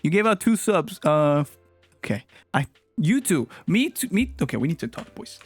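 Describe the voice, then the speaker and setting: Lively playful male streamer, faint music. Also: a little high, moderate pace, slightly rough. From Latin America. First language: Spanish. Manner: animated, playful, teasing with chat. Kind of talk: casual live-stream chat.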